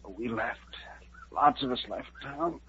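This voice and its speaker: An actor playing a gravely wounded settler, weak and fading, speaking in halting, breathless broken phrases. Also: male voice, medium pitch, expressive, fairly bright tone, full character voice. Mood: dying. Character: gravely wounded male settler, frightened and urgent. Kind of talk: 1950s radio drama acting. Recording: muffled, hissy 1950s radio broadcast.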